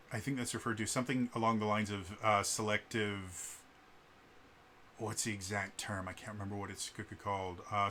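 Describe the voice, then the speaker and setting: A man with a bright, forward voice talks casually to camera, and his stutter breaks in on words now and then. His delivery is halting, full of ums and uhs, with an earnest, indignant edge. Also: on the low side, a little hiss or hum. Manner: halting, many fillers and repeated sounds. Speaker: vlogger. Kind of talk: casual spoken commentary to camera.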